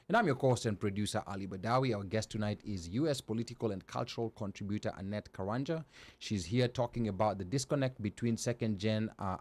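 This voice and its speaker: A man's voice: lowish voice, a little mellow. A man co-hosting and producing a live talk show, chatty and full of fillers as he reads out viewer comments. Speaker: co-host and producer.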